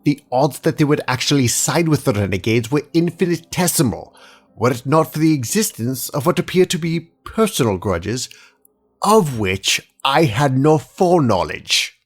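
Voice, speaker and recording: A man playing a haughty, calculating alien inspector in an audio drama, speaking in a formal, measured and pedantic manner. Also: mid-range voice, big pitch swings. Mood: defensive, precise. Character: precise, haughty male alien inspector, coolly calculating official.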